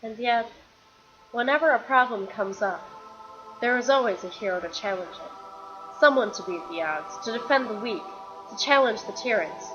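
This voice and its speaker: A woman's voice: fairly high pitch, lively intonation, normal speed, dull audio, over music, close to an everyday voice. A woman playing a weary, guilt-ridden warrior and reluctant champion, telling her story earnestly in a fan-made fantasy drama. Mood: reflective. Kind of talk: dramatic dialogue in a fan-made motion comic.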